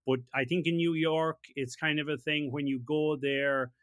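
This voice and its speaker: A man's voice: a little high, fairly even intonation, normal speed, a bit bright, no room sound, studio quality. A man hosting an interview, talking in a conversational way and asking leading questions that end in tags like "don't you?". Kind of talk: casual interview chat.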